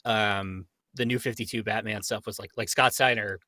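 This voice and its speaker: A man's voice: lowish voice, steady tone, moderate pace, heavy background noise. A young man chatting casually as a fan, opinionated and digressive, often dropping in "like". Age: young adult. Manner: opinionated, digressive, frequent use of "like".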